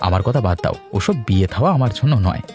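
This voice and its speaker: Male actor as hardworking fish-seller brother for a village cartoon; mellow low voice, practical temper. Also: expressive, racing, faint music behind, close to an everyday voice. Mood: practical, hopeful. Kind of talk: Bengali cartoon dialogue.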